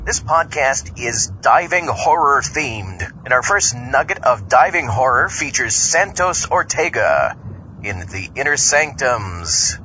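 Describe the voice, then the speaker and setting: A man's voice: mid-range voice, big pitch swings, slow pace, pushed and bright, dull audio, a little hiss or hum. A man hosting a podcast, reading a scripted introduction. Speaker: podcast host.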